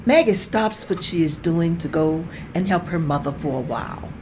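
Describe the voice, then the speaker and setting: A woman reads stage directions aloud in a plain, steady, even way. Her voice is on the low side. The recording carries clear background noise. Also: normal speed. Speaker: narrator reading stage directions. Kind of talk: table-read narration of a script.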